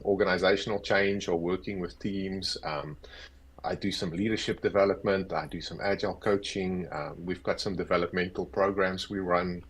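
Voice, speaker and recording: Easygoing South African man, fifties, lowish husky voice, self-deprecating guest on earbuds. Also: fairly bright tone. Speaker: guest, agile coach. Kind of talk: casual interview chat. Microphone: earbuds on a video call.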